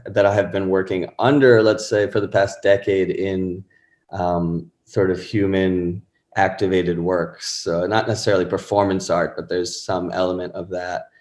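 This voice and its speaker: A man's voice: sits low, flat delivery, moderate pace, a little mellow, reverberant. A man in his thirties, a musician guest chatting casually and rambling with many fillers and restarts. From born in Pakistan, raised in Rhode Island. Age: 30s. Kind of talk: casual interview chat.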